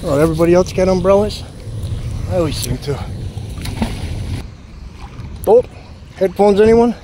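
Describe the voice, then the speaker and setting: A man gives a chatty running commentary, exclaiming over each find and talking to his viewers. His voice sits a little high. He is recorded outdoors on a body-worn action camera, with clear wind and water noise behind him. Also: lively intonation, moderate pace, dark tone. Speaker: magnet fishing vlogger. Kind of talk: casual running commentary while magnet fishing. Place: outdoors at a city pond edge. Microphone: chest-mounted action camera.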